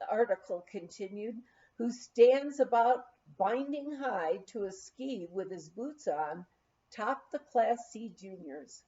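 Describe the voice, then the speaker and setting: A woman reading a memoir aloud in an expressive, measured storytelling manner. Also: on the high side. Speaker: audiobook reader. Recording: dull, lo-fi sound.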